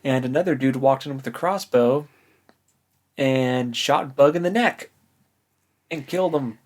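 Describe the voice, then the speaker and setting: A man's voice: medium pitch, unhurried. A man co-hosting a book podcast, recapping in a casual, conversational way.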